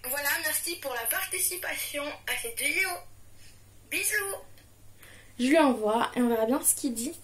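A young woman in her twenties recording a casual diction tutorial at home, chatting and reading children's book blurbs aloud with lively intonation. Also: high-pitched, moderate pace, long pauses. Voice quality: muffled, as if nasal, from a pen held in her mouth. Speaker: vlogger. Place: small living room at home, indoors.

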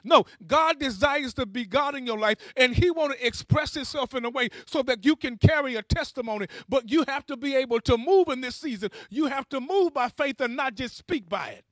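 A man's voice: very high-pitched, expressive, fairly quick, few pauses, a bit bright, no echo. A man preaching a charismatic sermon in a fervent, emphatic way, exhorting his listeners and repeating key phrases.

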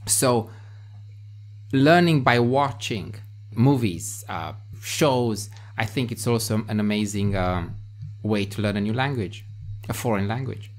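A man in his thirties from Romania, a native Romanian speaker, hosting a podcast over a video call in animated, quick bursts. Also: fairly low pitch. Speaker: podcast host. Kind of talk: casual video-call chat. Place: home room with bookshelves, indoors. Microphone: headset on video call.

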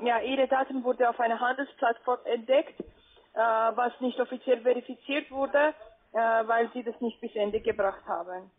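High-voiced woman on a tinny phone line. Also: normal speed. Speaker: call-center trading agent. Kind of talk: hesitant sales pitch by phone.

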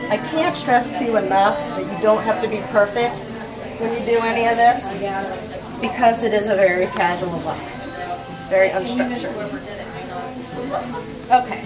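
A woman's voice: a little high, normal speed, pauses often, poor audio. A woman in her thirties, a hair stylist, casually explaining a styling tutorial step by step in a salon. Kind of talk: how-to tutorial. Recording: salon video with loud music behind. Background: loud background music.

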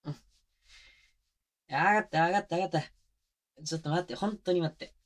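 A man's voice: fairly quick, no room sound, lightly acted. A man playing a teasing, doting young boyfriend in an intimate ASMR roleplay, talking casually and playfully with bits of baby talk, close to a binaural mic. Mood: flustered, playful. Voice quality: mid-low voice. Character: teasing, doting young boyfriend babying his exhausted, clingy girlfriend. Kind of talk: intimate ASMR roleplay drama, addressed to the listener. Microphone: close binaural microphone.

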